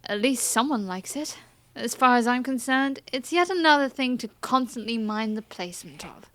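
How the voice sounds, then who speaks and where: A woman's voice: a little high, animated, moderate pace, a bit bright. A woman playing a proud, sulky young princess in a fantasy audio drama, with a formal and petulant way of talking. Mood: weary, sulky.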